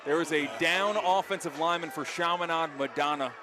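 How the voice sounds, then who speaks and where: An American man calling live football play-by-play, descriptive and animated, from an outdoor stadium. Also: on the high side, a little fast, crowd noise. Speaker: play-by-play announcer. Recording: live stadium broadcast with loud background noise. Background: loud stadium noise.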